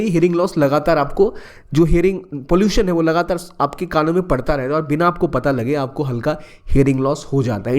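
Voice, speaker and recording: A man's voice: fairly high pitch, darkish tone. Conversational young Indian man explaining. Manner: conversational, mixes English phrases into speech, self-corrects mid-sentence. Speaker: exam-prep educator. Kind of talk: explanatory current-affairs talk.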